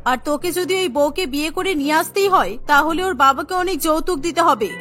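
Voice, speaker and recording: A woman's voice: sits high, fast, bright, forceful tone, soft background music. A woman voicing a harsh, greedy middle-aged mother-in-law in a cartoon, scolding and disdainful. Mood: angry, scornful. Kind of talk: Bengali cartoon melodrama voice acting.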